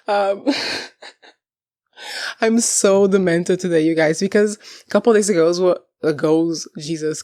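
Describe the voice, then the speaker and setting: A young woman from Portugal chatting casually to camera about books, rambling and animated, laughing often and swearing freely, her voice on the deep side. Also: dry recording, clean studio sound. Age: young adult. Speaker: book vlogger.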